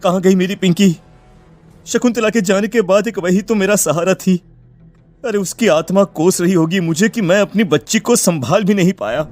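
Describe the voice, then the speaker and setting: A man voicing a grieving village father searching for his daughter in a cartoon story, distraught and pleading, over soft music. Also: high-pitched, normal speed, lightly acted.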